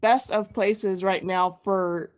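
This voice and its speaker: A woman's voice: mid-range voice, steady tone, slow. A woman in her thirties from Kansas with a slight Kansas accent, giving short, hesitant answers as a guest on a live stream. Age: 30s. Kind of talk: casual conversation.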